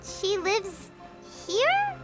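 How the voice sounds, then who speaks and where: A woman playing a small, childlike fairy companion in a video game, perky, blunt and excitable. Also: extremely high pitch, drawn-out words, loud music behind the voice, heavily acted. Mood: surprised, puzzled. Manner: speaks of self in third person, exclamatory.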